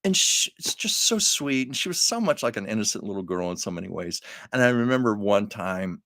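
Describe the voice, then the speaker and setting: A man's voice: fairly low pitch, very animated, fairly quick, a bit bright, no room sound, clean studio sound. A man hosting his own livestream from home, chatting casually with viewers and laughing often. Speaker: livestream host. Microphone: camera on a livestream setup.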